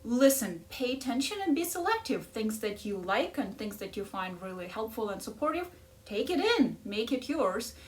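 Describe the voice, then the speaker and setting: A middle-aged woman with a noticeable Ukrainian accent and a voice pitched a little high. She talks through a book in a reflective, coaching way and keeps checking in with her listeners. Her tone stays encouraging throughout. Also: recorded from a distance. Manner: encouraging, coaching tone with frequent "right?" asides. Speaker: life coach and podcast host.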